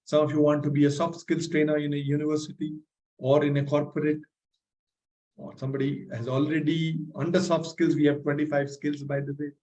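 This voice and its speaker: A man hosting a live training masterclass, energetic and motivational, often checking in with "right?"; his voice is a little strained from speaking loudly. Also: fairly high pitch, a little fast, dull audio. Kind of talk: live online masterclass with audience questions.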